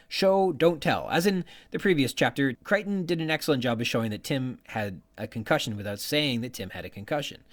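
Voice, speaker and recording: A man hosting a podcast, delivering scripted literary commentary with an emphatic delivery. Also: medium pitch. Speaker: podcast host.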